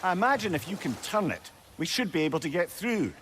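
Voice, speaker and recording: An actor playing a wry, wise older Scottish companion in a video game, droll and wordy. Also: male voice, high voice, very animated, fast talker, slight background noise. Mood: thoughtful.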